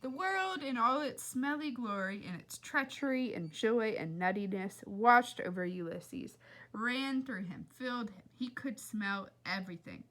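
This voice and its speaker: A woman reading a children's book aloud like a teacher, in an animated, expressive way with chatty asides to her listeners. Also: a little high, measured pace. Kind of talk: animated read-aloud narration.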